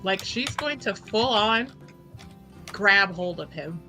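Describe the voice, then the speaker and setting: A woman's voice: mid-range voice, lively intonation, slow pace, over music. A woman playing a tabletop roleplaying game, talking casually as she improvises her character's actions.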